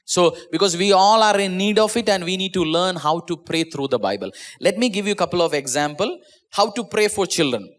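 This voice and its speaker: A man's voice: lively intonation, a little fast. A man who is a pastor, with an Indian English accent and Malayalam as his first language, preaching an online sermon in an earnest way, often repeating and restarting words. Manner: earnest, repeats and restarts words, drops pitch at phrase ends. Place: small church.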